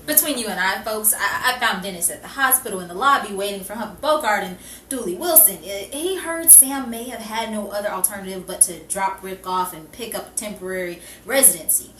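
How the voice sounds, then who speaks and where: Casting: actress as pleading, excitable 1940s radio comedy wife, bright forceful voice, echoey room. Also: female voice, mid-range voice, brisk, natural voice. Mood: flustered. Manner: emphatic, flustered. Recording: echoey, distant mic, background noise.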